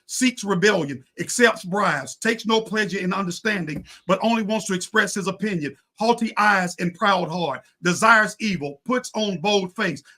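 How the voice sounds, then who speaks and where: A man's voice: sits high, sharp tone. A man speaking as a pastor and talk show guest, in impassioned talk on faith and politics, building his points in preacherly repeated phrases.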